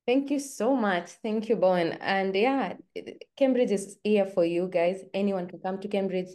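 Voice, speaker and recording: A woman from Kenya, hosting an online webinar in a warm, chatty manner over a video call. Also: mid-range voice, moderate pace. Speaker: webinar host.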